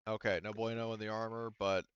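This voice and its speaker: A man's voice: fairly low pitch, flat delivery, fast, slight background noise. A man speaking casually and profanely as game master of a tabletop role-playing game, in slightly muffled audio.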